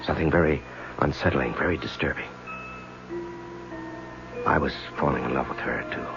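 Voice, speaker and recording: An actor playing a troubled, guilt-ridden married lawyer in a 1970s radio drama, hesitant and confessional. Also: male voice, a bass voice, normal speed, vocal fry. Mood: brooding, confessional. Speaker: lead actor and first-person narrator. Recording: dull vintage radio recording with music.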